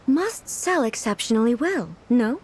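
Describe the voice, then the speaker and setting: Regal female ruler for a video game: high, expressive pitch, formal, measured, innocently curious. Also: slightly rough. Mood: curious, composed. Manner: formal, measured, thoughtful phrasing. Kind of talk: English video game voice acting.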